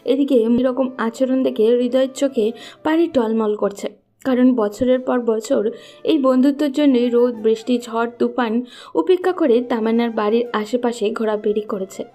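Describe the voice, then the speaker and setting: Female story narrator: scripted delivery, dark soft timbre, slightly high pitch, faint music bed. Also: normal speed.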